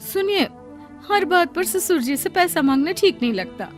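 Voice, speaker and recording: A woman's voice: very high voice, animated, normal speed, over music. A woman playing a worried, long-suffering young wife in a cartoon story, pleading politely and plaintively.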